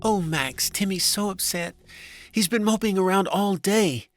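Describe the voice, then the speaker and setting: A man's voice: a little slow, no room sound, soft background music. A man voicing a meek, anxious, long-suffering wife in an audiobook story, speaking in a plaintive, fretful way. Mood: upset, pleading. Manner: plaintive, fretful, higher-voiced.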